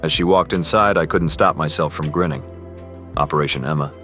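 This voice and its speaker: A man's voice: rumbling low, a little fast, fairly bright tone. A man playing an earnest, privileged teenage boy who confides and narrates in an amateur romance drama. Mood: reflective. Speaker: character and first-person narrator. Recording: music behind the voice.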